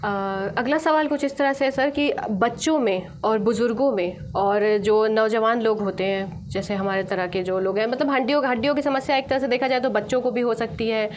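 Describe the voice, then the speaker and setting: A young woman speaks as a radio interviewer in a fairly high voice. She is conversational and wordy, wandering through her questions. A little noise sits behind her. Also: brisk, hardly any pauses.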